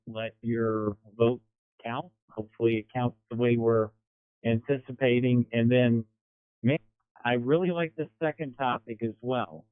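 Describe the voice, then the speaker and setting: A man's voice: medium pitch, clear, resonant tone, dry recording. Phone-like radio voice, middle-aged American man. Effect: amateur radio transmission. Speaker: amateur radio caller, radio broadcaster. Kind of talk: casual radio check-in chat. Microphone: two-way radio. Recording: narrow phone-like radio transmission.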